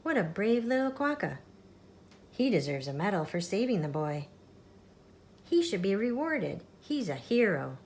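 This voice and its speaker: A woman's voice: medium pitch, sing-song, measured pace, long pauses, darkish tone, slight background noise. A woman voicing an admiring, astonished onlooker in a children's storybook, speaking emphatically and with wonder. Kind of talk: children's storybook character reading.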